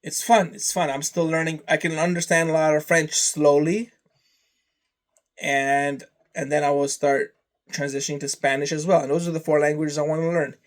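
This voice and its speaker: A man whose first language is Serbian, talking slowly and rambling through a casual vlog, trailing off into long lists. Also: fairly high pitch, flat delivery. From Canada. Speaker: vlogger.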